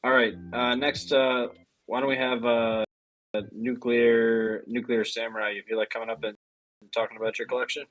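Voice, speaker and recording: A man's voice: medium pitch, moderate pace, poor audio. Easygoing male host with a mid voice. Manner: relaxed, joking, hesitant with fillers. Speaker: podcast host. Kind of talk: hosting a casual voice space.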